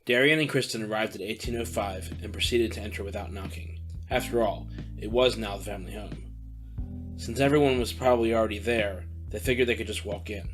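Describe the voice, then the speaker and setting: A man narrating an audio drama in an even storytelling way. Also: lowish voice, brisk. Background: faint background music.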